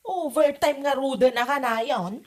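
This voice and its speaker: A woman's voice: sits high, a little slow, a little mellow. A woman playing a nagging, suspicious middle-aged mother in an audio comedy drama, with an Ilocano Filipino accent and a scolding, questioning manner. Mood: suspicious, complaining.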